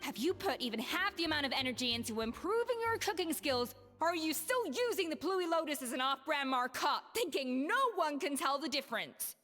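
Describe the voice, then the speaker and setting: A woman voicing a composed, proud young chef in an anime game, formal and firm, with a sharp tongue. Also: high-pitched, lively intonation, brisk, hardly any pauses. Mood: scathing. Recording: long reverb, music behind.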